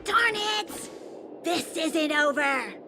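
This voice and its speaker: A woman voicing a scheming gang boss in a game cutscene, slow and mock-polite. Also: very high voice, animated, noisy background, heavily acted. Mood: angry. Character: scheming female gang boss, cold and threatening. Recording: game audio over music.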